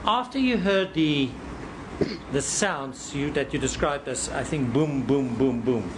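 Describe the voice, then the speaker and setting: A man cross-examining a witness in court, deliberate and probing, often repeating words as he frames a question. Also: mid-range voice, noisy background.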